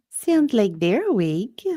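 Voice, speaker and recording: A woman playing a sleepy, teasing young lover in a romance reading, with soft, slightly raspy, drawn-out murmurs. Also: high-pitched, dry recording, studio quality, full character voice.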